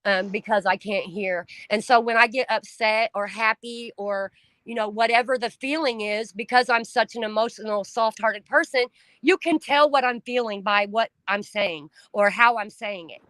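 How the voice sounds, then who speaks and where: A middle-aged woman talking on a home stream, loud and blunt, swearing freely, her voice climbing when she gets upset. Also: on the high side, moderate pace, no room sound, poor audio. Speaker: stream co-host. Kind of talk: emotional casual chat.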